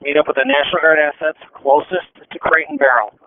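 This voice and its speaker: A man's voice: fairly high pitch, little pitch movement, brisk, a little hiss or hum. A man working police radio, making polite, procedural requests and reports through a tinny two-way transmission. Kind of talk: terse police radio traffic.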